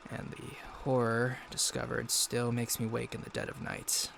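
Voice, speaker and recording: A man playing a haunted 1950s war correspondent, narrating an audio log in a measured, literary, solemn way over background noise. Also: medium pitch, monotone, vocal fry, fairly bright tone. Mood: haunted, ominous.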